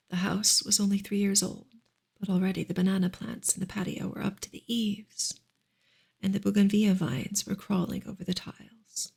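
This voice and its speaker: A woman reading a novel softly in ASMR style, gentle and unhurried, often slipping into a whisper. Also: mid-range voice. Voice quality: soft, breathy, often dropping into a whisper. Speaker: ASMR reader and streamer.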